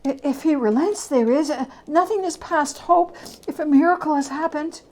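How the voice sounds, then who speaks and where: A woman's voice: sits high, moderate pace, a little mellow, voice pushed up, close to an everyday voice. A woman voicing a mild, patient young wife, anxious and tentative, in a storybook reading. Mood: desperately hopeful.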